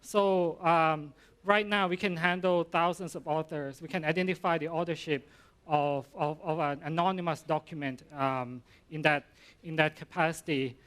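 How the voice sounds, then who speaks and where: A middle-aged Thai man, a university professor, giving an informal conference talk in English with a Thai accent, halting and full of fillers and restarts. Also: on the high side, steady tone, fairly bright tone, smooth.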